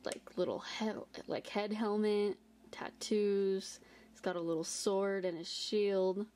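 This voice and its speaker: A woman's voice: mid-range voice, level tone, slow, a little mellow. A woman co-hosting a toy unboxing, chatting casually and describing each piece with lots of "like" and "little". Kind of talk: casual chat. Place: indoor tabletop filming setup.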